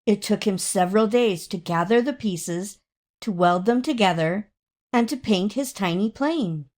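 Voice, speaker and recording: A woman reading a children's story aloud in an expressive, dramatic way, drawing out words for emphasis. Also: mid-range voice. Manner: dramatic, with drawn-out emphasis and acted sound words. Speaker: storybook reader.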